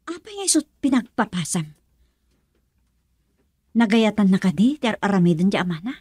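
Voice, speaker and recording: An indignant woman in a Filipino radio drama, reproachful and emotional. Her voice is husky and Filipino-accented, recorded close and dry. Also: medium pitch, very animated, normal speed, a little mellow, no echo. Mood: indignant, accusing. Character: indignant woman scolding a friend's lover.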